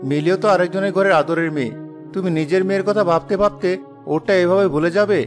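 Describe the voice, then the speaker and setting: A man voicing a plain-spoken family man in an animated moral story. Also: sits high, fast, over music, natural voice. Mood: reproachful.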